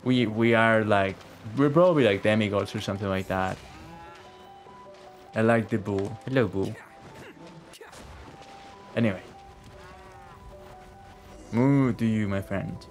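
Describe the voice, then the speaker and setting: A man's voice: lowish voice, unhurried, pauses often. A man giving casual live game commentary as a streamer, informal and sweary, muttering to himself and to the game. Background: game music.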